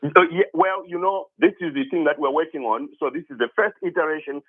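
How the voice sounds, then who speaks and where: A man's voice: on the high side, a little fast. A man from Zimbabwe calling in to a radio talk show about his app, talking eagerly with many restarts and repeated words. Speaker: caller, app founder. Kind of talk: phone-in radio conversation. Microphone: telephone. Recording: tinny phone line.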